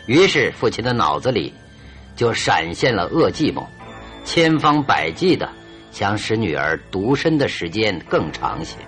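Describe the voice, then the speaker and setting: Confident detective cornering a crook, radio play, big swingy intonation, bit of music behind. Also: male voice, on the low side, sing-song, fairly bright tone. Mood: commanding, explaining. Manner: deliberate, commanding, theatrical. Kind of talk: radio drama acting. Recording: radio drama with music underneath.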